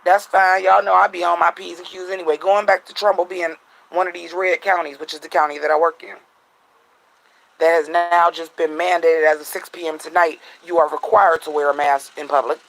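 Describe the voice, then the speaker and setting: A man vlogging at home at night, venting bluntly and profanely in a casual monologue, his voice a little raspy. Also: fairly high pitch, little pitch movement, brisk, a bit bright, low-quality recording.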